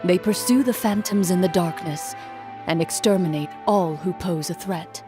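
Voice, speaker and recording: A woman voicing a composed, courteous video game heroine who speaks in a measured, formal way. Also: fairly low pitch, music in the background, lightly acted. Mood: solemn. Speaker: game character telling a story. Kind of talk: scripted video game dialogue and narration.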